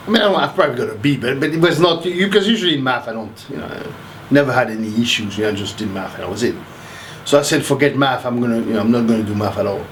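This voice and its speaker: A man's voice: medium pitch, a little hiss or hum, poor audio. Rambling older man from Haiti. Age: 60s. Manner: rambling, restarts, animated. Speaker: interviewee, math professor. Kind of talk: casual interview.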